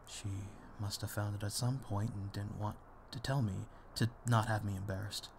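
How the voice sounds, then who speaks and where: A man playing a gentle, self-deprecating father in an ASMR roleplay, speaking softly and hesitantly to comfort his grown daughter. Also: lowish voice, steady tone, normal speed, creaky, slight background noise. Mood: wistful, tender. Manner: hesitant, soft, soothing, with small chuckles. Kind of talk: intimate ASMR audio roleplay.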